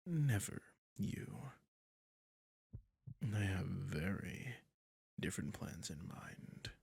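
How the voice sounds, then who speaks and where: A man playing a sadistic, centuries-old vampire prince in an ASMR roleplay, slow and menacing, often dropping into a whisper. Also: sing-song, a little mellow, no echo, studio quality, full character voice. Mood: possessive, taunting. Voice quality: low, husky, gravelly.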